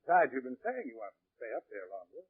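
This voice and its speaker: Glib husky scheming prospector. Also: male voice, medium pitch, sing-song, quick pace. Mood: falsely solicitous. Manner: glib, dismissive, casual persuasion. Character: scheming, falsely breezy male gold prospector, deceiving his partner. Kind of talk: 1940s radio drama acting. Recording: vintage radio, narrow tinny band.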